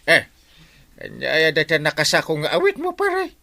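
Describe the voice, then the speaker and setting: An actor playing a blustery, excitable male village captain with an Ilocano Filipino accent in a comedy radio drama. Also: fairly high pitch, moderate pace, vocal fry, a little hiss or hum, full character voice. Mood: excited.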